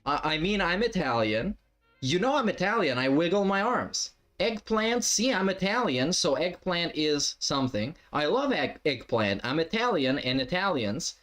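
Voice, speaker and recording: A man's voice: a little high, very animated, moderate pace, a bit bright, soft background music. A man doing reaction commentary on stream, mocking and heckling with lots of swearing.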